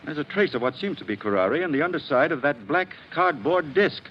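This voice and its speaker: An actor playing a learned, authoritative doctor in a 1940s radio mystery, speaking in a precise, clinical manner. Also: male voice, a little high, expressive, brisk, lightly acted. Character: authoritative, learned male doctor at a crime scene. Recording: muffled, hissy 1940s radio recording.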